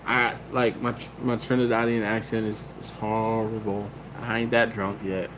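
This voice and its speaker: A man's voice: on the low side, flat delivery, normal speed, noisy background. Chatty man hosting a livestream. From Maryland, US. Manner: rambling, laughs often, self-interrupting. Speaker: livestream host reading chat. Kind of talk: casual live chat.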